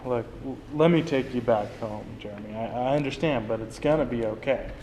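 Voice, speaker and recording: A man acting in a play reading as a steady, plain-spoken friend who coaxes and reassures, recorded in a noisy room. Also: mid-range voice, brisk, in a large hall, natural voice. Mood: gently concerned. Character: Frank, steady, reassuring male friend, no longer young. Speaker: drama student actor. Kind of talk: dramatic reading of a stage play.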